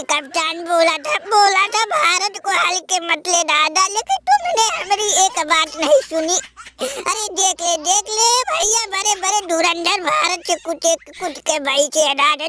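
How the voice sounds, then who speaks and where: A man voicing a sobbing, beaten cricketer in a comic cartoon, with a squeaky, sped-up voice, wailing melodramatically. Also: background noise, heavily acted. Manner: melodramatic wailing, crying while talking.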